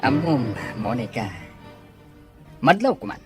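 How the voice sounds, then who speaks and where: A man's voice: medium pitch, big pitch swings, slow, loud music behind the voice. A man with an Ilocano accent playing a jealous, stubborn jobless husband who argues and needles in a radio drama. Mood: taunting.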